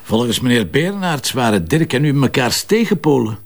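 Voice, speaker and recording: Dry, probing Flemish police commissioner. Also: male voice, mid-range voice, very animated, normal speed. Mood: dry, sceptical. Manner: polite, dry, pointed questioning. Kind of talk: naturalistic crime drama acting.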